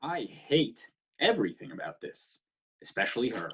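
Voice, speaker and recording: A man's voice: medium pitch, sing-song, moderate pace, fairly bright tone, slightly rough. An actor playing a sulky, negative young male housemate in a comedy script read over a video call. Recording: muffled, lo-fi video-call audio.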